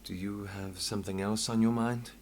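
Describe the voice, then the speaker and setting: An actor playing a composed, wry investigator with a measured, polite manner in a fully voiced video game. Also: male voice, fairly low pitch, fairly even intonation, a little mellow, slight background noise, natural voice. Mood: wry, reflective. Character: composed male investigator, former street thief, wry and courteous. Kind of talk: scripted video game voice acting.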